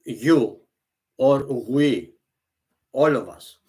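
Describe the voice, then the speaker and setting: A man whose first language is Greek, speaking English slowly and earnestly to the camera. He often halts, repeats himself and restarts his phrases. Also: medium pitch, animated, a little mellow. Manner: halting, repeats and restarts phrases, non-native grammar. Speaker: vlogger. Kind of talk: slow, earnest monologue to camera.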